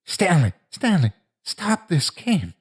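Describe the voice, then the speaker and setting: A man's voice: medium pitch, very animated, moderate pace, darkish tone, breathy edge. A man voicing a frightened, tearful ten-year-old boy who pleads and bargains in a story. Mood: panicked. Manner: anguished, pleading.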